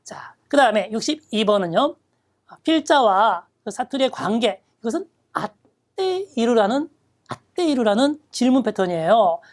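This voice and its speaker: A woman gives an exam-prep lecture in a slightly high voice. She reads quoted phrases slowly and then explains each one step by step, keeping an instructive tone throughout. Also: very animated. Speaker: Japanese reading test instructor.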